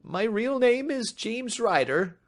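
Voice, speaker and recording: A man voicing a nervous, frightened little man in an audiobook, pleading in a thin, high, quivering voice. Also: big pitch swings, slow pace, exaggerated voice. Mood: anxious. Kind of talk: audiobook reading.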